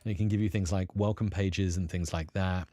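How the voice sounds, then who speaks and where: A man's voice: low voice, steady tone, a little fast, dark tone, dry recording, studio quality. A man hosting a live stream, chatting casually and answering viewers, rambling upbeat with lots of fillers.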